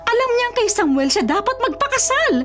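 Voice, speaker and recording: A woman's voice: very high-pitched, sing-song, fairly quick, a bit bright, full character voice. An actress playing a domineering, money-minded middle-aged Filipino mother in a radio drama, scolding and exasperated in her delivery. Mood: indignant, scolding.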